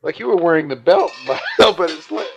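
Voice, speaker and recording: Halting American man, roomy and distant. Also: a little high, lively intonation, vocal fry, slight background noise. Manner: halting, rephrases questions. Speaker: interviewer. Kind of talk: casual probing interview. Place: hotel suite, away from the mic. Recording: roomy, distant archival interview tape.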